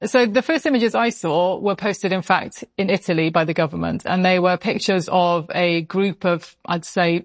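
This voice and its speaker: A woman's voice: fairly low pitch, dry recording. A woman, a foreign correspondent explaining events in an interview.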